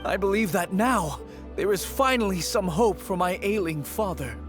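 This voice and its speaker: A man's voice: sits high, animated, normal speed, soft background music. An actor playing an earnest young man who trusts a self-styled sage to heal his sick father. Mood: hopeful.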